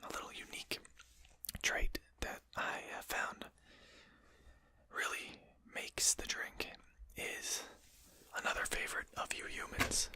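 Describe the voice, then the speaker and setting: Whispering, courteous vampire host, acted out softly. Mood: polite, hospitable. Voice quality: breathy unvoiced whisper. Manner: formal, polite, deliberate phrasing. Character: courteous, genteel vampire host lecturing a captured hunter. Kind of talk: whispered ASMR roleplay.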